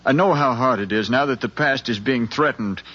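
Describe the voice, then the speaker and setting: An actor playing an earnest, insistent middle-aged son pleading with his mother, in a deliberate, impassioned American radio drama style from the 1950s. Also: mid-range voice, bright, forceful tone, husky. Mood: gently pressing. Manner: impassioned, deliberate, speechlike with repeated phrases. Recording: dull 1950s radio recording, music.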